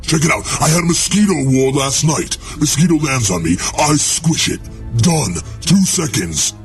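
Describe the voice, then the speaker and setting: A man's voice: on the high side, expressive, moderate pace, slightly rough, faint music behind, full character voice. A man playing a haughty, sarcastic demon lord in a comic radio play, talking down to his underlings. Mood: mocking. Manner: sarcastic, scornful, condescending.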